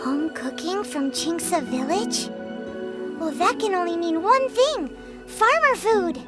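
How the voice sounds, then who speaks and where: A woman voicing a tiny, chirpy, childlike fairy companion in a video game, squeaky, curious and excitable. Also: slow, exaggerated voice. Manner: refers to self in third person, exclaiming. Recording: loud game music behind.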